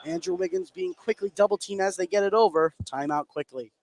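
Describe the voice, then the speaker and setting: A man's voice: sits high, huge pitch swings, fairly quick, a little mellow. A man giving live play-by-play commentary on a basketball game, as on sports radio. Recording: clear game noise behind the voice. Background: gym ambience of a basketball game.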